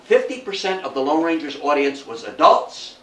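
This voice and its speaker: A man's voice: fairly high pitch, very animated, normal speed, a bit bright. Older man lecturing in a roomy space. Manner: folksy, chatty, rhetorical questions to listeners. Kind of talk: informal history talk to an audience. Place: indoor room before an audience. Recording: roomy, distant mic, faint noise.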